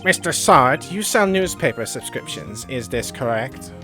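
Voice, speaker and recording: A man's voice: mid-range voice, lively intonation, moderate pace, sharp tone, soft background music, voice pushed down. A man voicing a smug, condescending prosecutor in a courtroom video game, speaking formally and pointedly. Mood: accusatory. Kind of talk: video game role-play.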